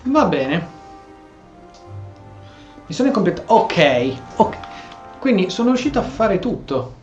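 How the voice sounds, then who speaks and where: An Italian man hosting a gameplay video in a casual, playful way. His pitch moves a lot as he reacts aloud, with soft game music underneath. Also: very animated, unhurried, highs cut off.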